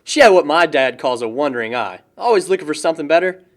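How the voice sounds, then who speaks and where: Casting: male actor as sincere, slightly awkward young guy, highish lively voice, casual confiding delivery, film drama. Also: quick pace, close to an everyday voice. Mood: thoughtful. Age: young adult. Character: earnest, smitten young man opening up to his friend. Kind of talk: naturalistic buddy dialogue.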